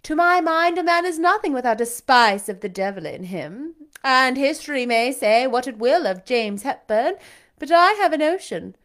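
A woman's voice: high-pitched, animated, moderate pace, a bit bright, voice pushed up. A woman reading aloud, voicing a proud, scornful young aristocratic lady who talks in an imperious, showy way. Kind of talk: audiobook character reading.

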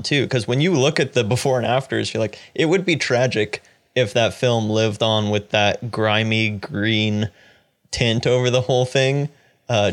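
A man hosting a podcast, chatting casually about films. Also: medium pitch. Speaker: podcast host. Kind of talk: casual film discussion.

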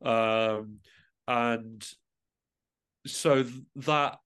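A man speaking as an interview guest, a musician reflecting tentatively and often pausing mid-thought to rephrase. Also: mid-range voice, steady tone, fairly bright tone, smooth.

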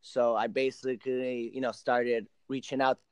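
A man in his late twenties, originally from Argentina with Spanish as his first language, talks casually as a podcast guest. His voice is smooth and clear, at an average pitch, and the sound is close and dry. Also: flat delivery, normal speed, a little mellow, no room sound. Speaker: professional soccer player, podcast guest. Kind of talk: casual interview storytelling.

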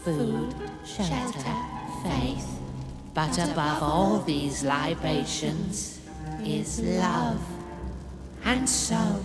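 A man's voice: on the high side, expressive, drawn-out words. A man playing a fanatical cult-leader squirrel in an audio drama, preaching with pompous ceremony in heavy reverb. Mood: solemn zeal. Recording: long hall reverb, loud music.

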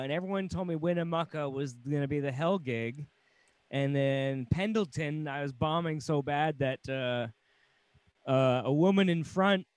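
American man, somewhat high pitch, dry studio-like sound, comedian, wry casual banter. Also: no echo. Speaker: stand-up comedian.

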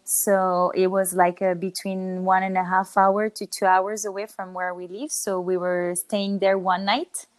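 A woman's voice: medium pitch, flat delivery, a little slow, hardly any pauses, no echo. A woman from France speaks as a dance teacher in a casual video-call interview. She explains steadily, laughing lightly now and then, and her voice sounds dry and close.

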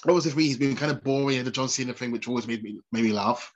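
A man's voice: mid-range voice, racing, highs cut off. A man co-hosting a casual podcast while snotty with a cold, chatty and laughing often. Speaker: podcast co-host.

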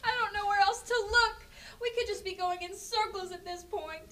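A woman's voice: very high voice, steady tone, moderate pace, pushed and bright. A young woman acting as an earnest, anxious younger sister in an amateur zombie apocalypse radio drama. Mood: anxious, upset. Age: teenager or young adult. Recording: distant microphone, faint music.

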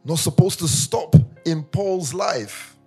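A man from Nigeria preaching a sermon, telling humorous personal stories in a lively way that rises into sing-song emphasis. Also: a little high, slow, breathy edge, faint music behind. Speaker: pastor.

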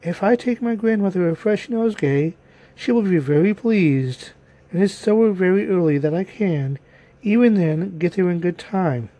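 A man's voice: high-pitched, animated, normal speed, dark tone, pitched above the performer's own voice, low-quality recording. A man voicing an innocent, polite, trusting little girl in a storybook tale, speaking earnestly. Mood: cheerful.

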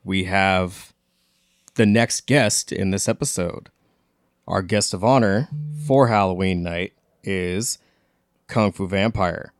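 A man's voice: sits low, slow, long pauses, dry recording, clean studio sound. An American man hosting a podcast, casually promoting events and chatting with guests. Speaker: podcast host.

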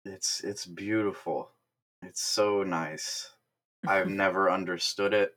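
A man's voice: low-pitched, fairly even intonation, slow, a bit bright. A man co-hosting a podcast in casual chat, self-mocking with absurd jokes and frequent laughter. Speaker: podcast co-host.

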